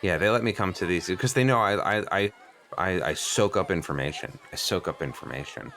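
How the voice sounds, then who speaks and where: A man's voice: low-pitched, expressive, a little fast, creaky, fairly bright tone, exaggerated voice. A man playing an inquisitive, bookish young boy in an improvised comedy radio play, earnest and persistent, rambling pedantically and missing social cues. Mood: proudly precocious.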